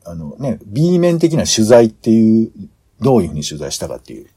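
A man's voice: on the low side, sing-song, fairly quick, warm tone, breathy edge. A man in a casual planning discussion as a podcast host, speaking hesitantly as he searches for his words.

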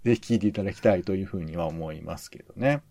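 A man's voice: low-pitched, rapid-fire, creaky, mellow, no echo. A man co-hosting a podcast, giving conversational commentary on radio shows and thinking aloud as he goes. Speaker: podcast co-host.

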